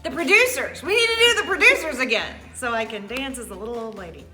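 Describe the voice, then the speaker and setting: A middle-aged woman talks casually about her past as a stage actress. Her pitch swings widely in a playful, theatrical way. Music plays clearly behind her voice. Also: fast. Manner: animated, theatrical, jokey.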